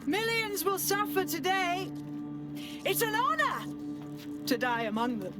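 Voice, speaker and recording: A woman playing a cold, self-righteous terrorist mastermind in a video game, calm and menacing. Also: very high-pitched, a little slow, music in the background, heavily acted. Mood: fervent. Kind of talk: video game cutscene acting.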